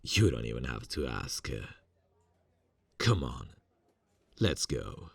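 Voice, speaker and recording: A young man playing a friendly male college student who is secretly a serial killer, speaking softly and hesitantly in an ASMR roleplay, with many fillers and quiet insinuations. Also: extremely low pitch, sing-song, slow pace, gravelly, no echo, full character voice. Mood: falsely friendly.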